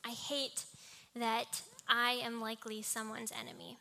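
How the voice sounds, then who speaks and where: Young female preacher, fairly high and very bright small voice, mixing scripture with personal anecdote. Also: little pitch movement, unhurried, vocal fry, a little hiss or hum. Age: young adult. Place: live talk before a congregation.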